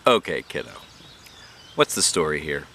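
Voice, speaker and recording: A man voicing a kind, concerned woman schoolteacher in a storybook, speaking in a gentle, coaxing manner. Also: a bass voice, very animated, normal speed, slight background noise, pitched below the performer's own voice, exaggerated voice. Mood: patient, probing.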